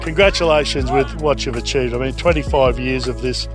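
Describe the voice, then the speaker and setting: A man's voice: mid-range voice, a little fast. A middle-aged Australian man hosting casual sideline interviews with jovial, matey banter into a handheld microphone. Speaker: roving reporter and host. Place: outdoor sports field. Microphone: handheld reporter microphone. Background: music playing.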